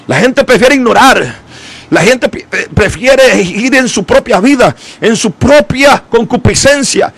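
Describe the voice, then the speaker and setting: A man with a very high, strained voice preaches. His delivery is gravelly and impassioned, full of repetition and sudden shouted peaks. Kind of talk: fiery evangelical sermon.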